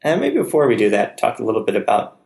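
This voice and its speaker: A man's voice: lowish voice, wildly swooping pitch, quick pace, vocal fry, darkish tone, roomy sound. A man hosting a casual podcast, chatty and self-deprecating, talking at length about his work. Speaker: podcast host. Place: room in Palo Alto, California.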